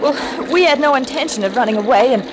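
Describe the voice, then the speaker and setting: A woman's voice: sits high, animated, fast. An actress playing an earnest, anxious young woman, hesitant and apologetic, in 1940s radio melodrama style. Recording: noisy vintage radio recording.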